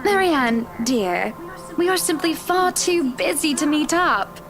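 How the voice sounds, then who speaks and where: An actress voicing a wealthy, self-assured socialite wife in a visual novel game, brisk, commanding and breezily condescending. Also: female voice, high voice, expressive, heavily acted. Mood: haughty, brisk. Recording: music behind the voice.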